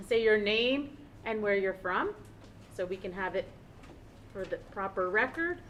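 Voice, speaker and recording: A woman opens a public school meeting in a friendly, procedural way, with a few fillers. Her voice sits a little high, with a faint hiss in the background. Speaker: meeting chair.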